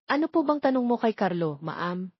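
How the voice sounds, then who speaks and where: A woman's voice: a little high, very animated, moderate pace, husky. A woman voicing an innocent young nanny, deferential and earnest, in a romance story. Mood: polite, concerned. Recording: muffled lo-fi, roomy echo.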